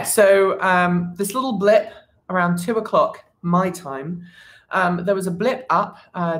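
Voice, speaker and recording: A woman hosting a casual livestream, talking to viewers with many fillers and restarts. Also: fairly low pitch. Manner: chats with viewers, reads the chat. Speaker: livestream host.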